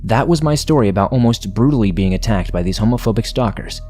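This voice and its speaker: A man narrating listener-submitted horror stories in the first person, in a steady, measured reading. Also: lowish voice, soft background music.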